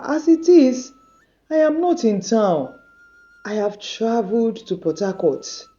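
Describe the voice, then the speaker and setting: A woman voicing a wronged woman who speaks quietly and calmly. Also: muffled recording. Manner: low, quiet and measured. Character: calm wronged woman, dignified and forgiving.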